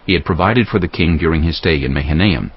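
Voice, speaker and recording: A man's voice: rumbling low, quick pace, breathy edge, muffled recording. A man reading scripted Bible narration as a narrator.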